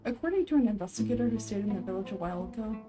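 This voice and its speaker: A woman voicing a courteous, weary older male village chief in a game, speaking in a measured, formal way. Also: medium pitch, expressive, lightly acted. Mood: grave.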